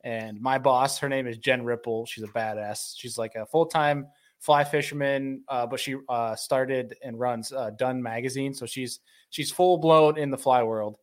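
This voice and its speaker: A man's voice: mid-range voice, fairly even intonation, measured pace. A man from western New York in casual chat, joking and telling stories, laughing easily.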